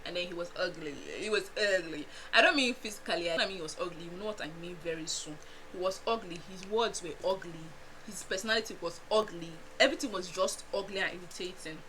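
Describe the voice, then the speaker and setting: A young Nigerian woman with a Nigerian accent, chatting casually to her viewers in an animated storytime vlog, laughing often and making asides. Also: lowish voice, fast, slight background noise, rough recording. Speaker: vlogger.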